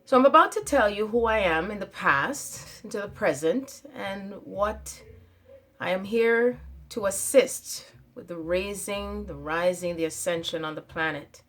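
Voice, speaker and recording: Woman doing an emphatic spiritual talk to camera, says things twice, mid-pitched voice. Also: measured pace, a bit bright. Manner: emphatic, repeats phrases, declarative. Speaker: channel host.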